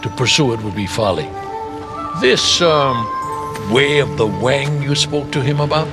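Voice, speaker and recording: An actor playing an old martial arts master in a video game, stern and serene, speaking formally with dry, measured delivery. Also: male voice, medium pitch, expressive, over loud music. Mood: dry, resigned. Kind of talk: video game cutscene voice acting.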